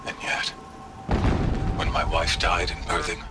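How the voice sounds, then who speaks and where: A zealous, pitiless warrior for a video game audio log, in a fairly low voice. He speaks with solemn grandeur and archaic formality, proud as one chosen by the sun. Loud music plays throughout behind him. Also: level tone, measured pace. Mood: proud, resolute.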